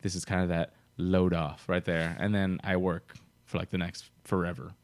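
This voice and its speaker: Chatty young man with low voice. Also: little pitch movement, normal speed. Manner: chatty storyteller, laughs often. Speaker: podcast co-host. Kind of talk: casual chat.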